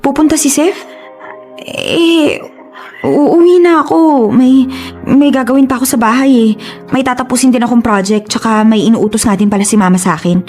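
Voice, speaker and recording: A woman's voice: fairly high pitch, normal speed, darkish tone, lightly acted. A woman with a Filipino accent playing a young, guilt-ridden older sister in a radio drama, hesitant and pleading as she makes excuses. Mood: flustered, evasive. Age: young adult. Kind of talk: Tagalog radio drama acting. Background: music.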